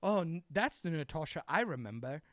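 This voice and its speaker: A man's voice: on the high side, lively intonation, a little fast, a bit bright, dry recording, studio quality. A man ranting heatedly and profanely in a casual chat among friends.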